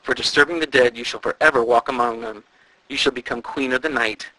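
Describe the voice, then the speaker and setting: A man voicing a menacing male ghost wrapped in a burial shroud, moaning his dark pronouncements in a storybook reading. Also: mid-range voice, brisk, no room sound, close to an everyday voice, poor audio. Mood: ominous.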